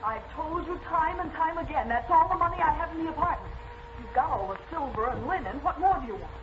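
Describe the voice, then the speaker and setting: A woman's voice: sits high, lively intonation, fast. An actress playing a spirited, defiant newspaperwoman in a 1940s radio drama, indignant in manner. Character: defiant, spirited young newspaperwoman facing a robber. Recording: noisy, muffled old-time radio recording.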